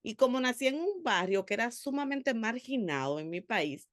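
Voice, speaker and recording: A woman from the Republic, giving an earnest, animated personal testimony as a theologian on a panel, with frequent hesitations. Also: on the high side, moderate pace, no room sound, clean studio sound. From Dominican Republic.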